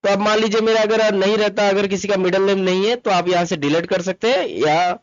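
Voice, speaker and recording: A man presents an informal tutorial in a somewhat high-pitched voice. He instructs step by step, repeating himself and dropping in English terms. The sound is very dry and a bit dull and lo-fi. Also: a little fast, dry recording. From Mumbai, India. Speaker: tutorial presenter, travel agent. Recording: dull lo-fi close dry recording. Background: voice-over to screen recording.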